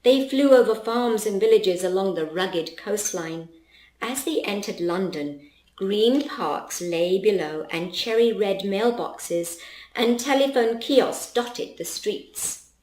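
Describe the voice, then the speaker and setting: Slow, expressive woman storyteller. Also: mid-range voice. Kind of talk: expressive children's storybook narration. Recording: roomy, echoing voice recording.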